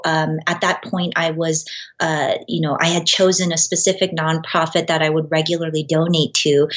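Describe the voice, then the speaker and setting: A young woman from Los Angeles, raised speaking Korean, answering interview questions in a thoughtful, casual way. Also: lowish voice. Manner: thoughtful, uses fillers and quoted asides. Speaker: guest, baker.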